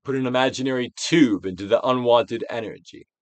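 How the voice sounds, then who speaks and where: A man giving a dramatic, deliberate reading of a self-help book as a guided exercise, with pauses and big swells. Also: mid-range voice, no echo. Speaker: audiobook reader and actor.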